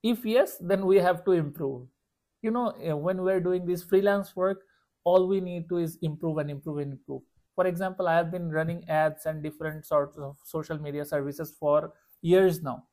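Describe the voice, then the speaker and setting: A young man from Bangladesh with a Bangladeshi accent, a freelance ad marketer earnestly pitching his services to a client on a call. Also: steady tone, normal speed, darkish tone. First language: Bengali. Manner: earnest, reassuring, fillers of "you know". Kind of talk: sales call.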